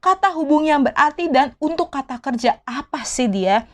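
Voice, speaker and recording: A woman with a high, light voice teaches a language lesson in a casual, chatty way. She keeps asking her viewers questions and drops English words into her explanations. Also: expressive, normal speed, a bit bright.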